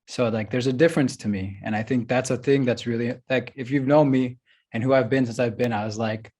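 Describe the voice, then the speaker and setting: Man, filmmaker on a panel; relaxed, rambling, filler-heavy, mid pitch. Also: brisk. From United States. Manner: loose and chatty, full of "like" and "you know what I'm saying". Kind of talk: casual panel discussion.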